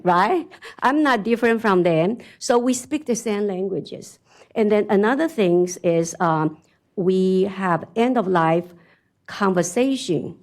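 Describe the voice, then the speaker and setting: Chatty woman with a strong Chinese accent. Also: medium pitch. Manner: animated, chatty, laughs often, asks "right?". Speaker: conference speaker. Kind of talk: conference talk. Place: conference hall.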